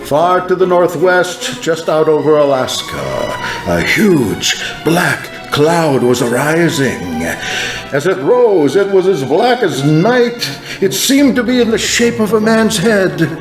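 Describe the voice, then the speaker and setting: Slow, echoing man narrating an apocalyptic vision. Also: on the high side, sing-song. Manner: solemn, theatrical, drawn-out delivery. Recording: roomy echo under loud music. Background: music.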